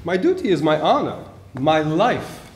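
A man's voice: a little high, big pitch swings, unhurried. A stage actor in his forties playing a proud, dutiful officer with clipped, old-fashioned genteel phrasing. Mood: earnest, proud. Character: gallant, dutiful male officer and royal messenger, charmed but proud. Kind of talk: live theatrical stage acting. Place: theater stage, indoors. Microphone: distant camera. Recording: echoey theater stage, faint hiss.

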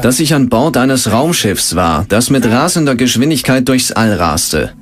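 Earnest farmer, noisy background. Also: male voice, medium pitch, very animated, a little slow. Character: earnest farmer recounting strange experiences. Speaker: voice-over dubber. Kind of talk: documentary interview voice-over.

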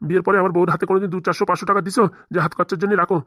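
A man's voice: sits high, little pitch movement, very fast, fairly bright tone, dry recording, clean studio sound. A man playing a stern, protective middle-aged father-in-law who lectures insistently in a comic cartoon. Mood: scolding. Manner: insistent, lecturing, rhetorical questions. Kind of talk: comic cartoon drama dialogue.

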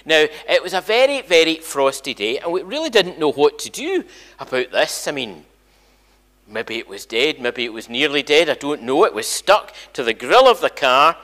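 A man's voice: a little high, big pitch swings, normal speed, fairly bright tone. An older Scottish man, a church minister, chatting informally and animatedly to his congregation as he tells stories. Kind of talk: informal all-age church talk, storytelling. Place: large church sanctuary, indoors. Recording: echoey church hall, long reverb.

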